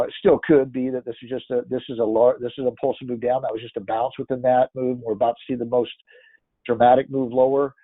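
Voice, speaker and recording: A man's voice: mid-range voice, dry recording. Congested man talking charts on a tinny line. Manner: rambling, thinks aloud with frequent restarts. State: congested, stuffy-nosed. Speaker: market analyst. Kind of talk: casual chart-by-chart market commentary. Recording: tinny phone-like dry audio.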